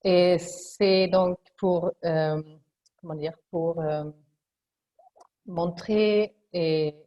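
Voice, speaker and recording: A woman with a Swedish accent moderating a webinar in French, introducing the panel formally and pausing often to search for words. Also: fairly low pitch, warm tone.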